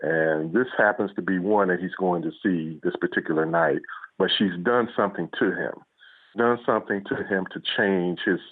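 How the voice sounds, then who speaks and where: A man being interviewed as an author over a muffled remote line, talking casually and often halting to search for words. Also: sits low, no echo.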